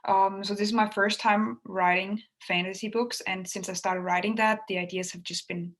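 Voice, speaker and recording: A woman from Denmark, a native Danish speaker, answering interview questions in a soft, casual way with frequent ums. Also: mid-range voice, steady tone, normal speed. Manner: soft-spoken, frequent ums, laughs lightly. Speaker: guest author.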